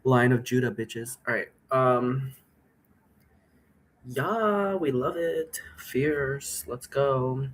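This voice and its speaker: A man's voice: mid-range voice, extremely slow. A man hosting a solo vlog, talking in a chatty, informal, meandering way. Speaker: host. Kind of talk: casual rambling solo vlog. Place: indoor room at home.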